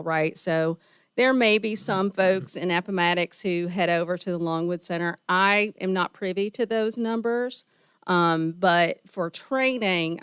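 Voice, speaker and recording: A woman, slightly gravelly from a spring cold, speaks in a friendly, chatty way while answering questions at a county meeting. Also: on the low side, no echo, studio quality. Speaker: small business center presenter. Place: county board meeting room.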